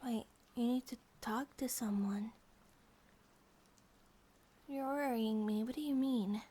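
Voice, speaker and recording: A woman acts out a grizzly bear monster-girl wife in an intimate ASMR roleplay. Her voice is fairly high and cutesy. She rambles softly to her partner, childlike, affectionate and a little possessive, at times slipping toward a whisper. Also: takes it slowly, husky. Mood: curious, casual. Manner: cutesy, rambling, giggly, sometimes dropping to a whisper.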